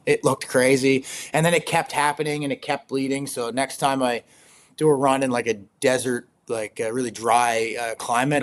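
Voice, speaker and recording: A young man with a slightly raspy voice, talking casually as an interview guest, rambling with lots of fillers and frequent laughs. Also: medium pitch. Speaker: guest, ultrarunner.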